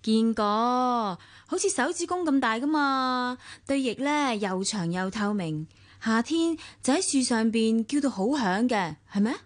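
A woman's voice: on the high side, lively intonation, measured pace. An actress playing a reserved, wistful married woman in a radio drama, with a Hong Kong Cantonese accent. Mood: guarded. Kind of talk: radio drama acting with inner monologue.

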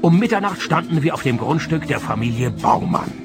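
An actor playing a sardonic, world-weary private detective in a radio play, laconic and deadpan as he speaks and narrates. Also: fairly high pitch, fairly quick, over music. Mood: dry, sardonic. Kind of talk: radio play acting with first-person narration.

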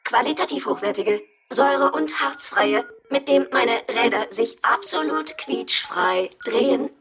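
A woman's voice: sits high, a little slow. A woman playing a strict household robot in a children's radio play, speaking in a stilted, officious way and prone to getting stuck. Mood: stern, matter-of-fact. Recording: music behind.

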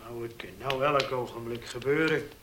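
An actor playing a humble, easily cowed older horse handler in a Dutch radio play, hesitant and deferential. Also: male voice, mid-range voice, steady tone, normal speed, fairly bright tone, a little hiss or hum, close to an everyday voice. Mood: gruff.

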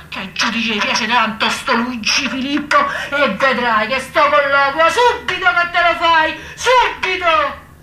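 A woman's voice: high voice, sharp tone, exaggerated voice. Woman as a piercing, cocky streetwise fixer. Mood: wheedling, pushy. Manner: pushy, repetitive, colloquial patter. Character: cocky streetwise fixer boasting of connections and bribes. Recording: echoey room.

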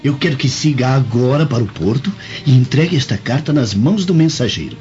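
A man's voice: mid-range voice, lively intonation, brisk, a little mellow, lightly acted. A man playing an imperious Roman nobleman in a radio drama, giving curt, commanding delivery. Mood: brisk, commanding. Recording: dull lo-fi radio drama, music behind.

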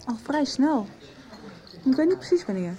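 A woman's voice: sits high, very animated, moderate pace, mellow. An actress playing an easygoing, friendly young woman in a Dutch radio soap. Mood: matter-of-fact. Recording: background chatter.

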